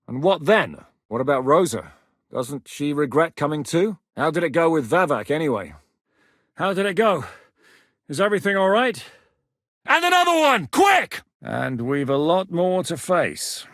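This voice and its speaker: A man's voice: on the high side, very animated, normal speed, a bit bright, dry recording, studio quality. An actor voicing a blunt, battle-hardened male rebel commander in a game, giving plain talk and bellowed battle orders. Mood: shouting orders. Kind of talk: game voice acting.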